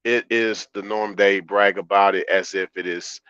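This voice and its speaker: A man with a deep baritone voice talking calmly and conversationally as a livestream host, repeating phrases for emphasis. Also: normal speed, clear, resonant tone. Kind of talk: conversational livestream monologue, answering chat. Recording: roomy, slightly dull lo-fi audio.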